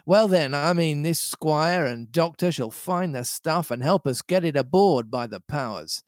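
A man performs a domineering, scheming storybook pirate cook. He speechifies in emphatic old seafaring dialect at a slow, deliberate pace. The sound is close and dry. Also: on the high side, lively intonation, no room sound. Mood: impatient, boastful. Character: Long John Silver, scheming, domineering pirate sea cook.

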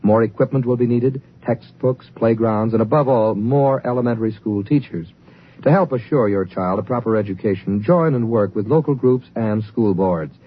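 A man's voice: mid-range voice, normal speed. A man reading a 1950s radio public service announcement in a formal, earnest announcer's style. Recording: muffled, hissy old radio recording.

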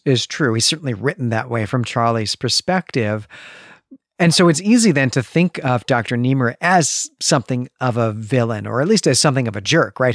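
A man's voice: medium pitch, no echo, clean studio sound. A man co-hosting a podcast, thoughtfully talking through literary analysis in a conversational way, building points in long, rephrased clauses. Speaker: podcast co-host.

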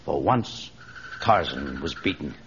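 A man's voice: very deep voice, expressive, slow pace, creaky, a bit bright, a little hiss or hum. A man narrating an old radio adventure serial in a grave, theatrical scripted style. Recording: dull old radio recording. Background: music.

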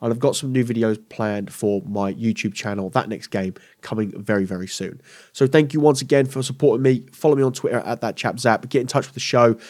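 A man's voice: medium pitch, fairly quick, darkish tone. A man hosting a casual solo gaming news podcast, stuffy with a cold, rambling and correcting himself as he goes. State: congested with a cold. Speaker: podcast host.

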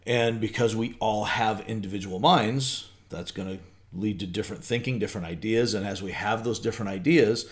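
A middle-aged man with a somewhat low voice talks his way through ideas on a podcast. He restarts often and thinks aloud in a loose, rambling way. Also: a little fast. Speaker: podcast co-host. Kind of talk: casual faith podcast chat.

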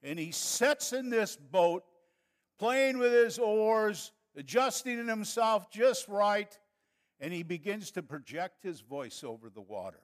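An older man preaching with a northern Wisconsin accent, his voice sitting a little high for a man. He talks to the congregation in a folksy, chatty way and builds to fervent preaching. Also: sing-song, slow pace. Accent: Upper Midwest (northern Wisconsin). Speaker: pastor. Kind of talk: sermon.

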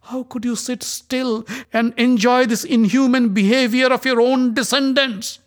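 A man's voice: fairly even intonation, measured pace. A man voicing a proud young queen who screams and rages in a storybook reading. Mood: indignant. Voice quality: shrill, screaming.

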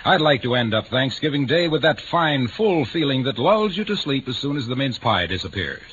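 An American man with a lively, swinging pitch tells a folksy sponsor story in a jaunty, theatrical way. The vintage radio recording is dull with faint noise. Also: normal speed. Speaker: radio commercial announcer.

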